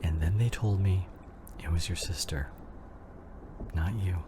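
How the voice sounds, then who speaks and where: A very low-voiced man playing a husband speaks slowly and intimately to his injured wife in an emotional ASMR drama. He is tender and worried, breathy and often close to a whisper, over clearly audible noise. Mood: confessional, sorrowful.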